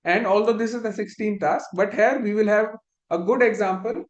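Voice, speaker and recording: A man's voice: sits high, normal speed, roomy sound, muffled recording. A man instructor leading a live online spreadsheet lesson, explaining step by step and often restarting his phrases.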